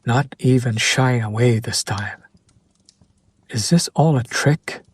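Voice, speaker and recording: Smug male demon played by a man, possessive and teasing, unhurried mid-range voice, close dry sound, intimate audio roleplay. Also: medium pitch, dry recording. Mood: wary, exasperated. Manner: slow, teasing, deliberate, with breathy pauses.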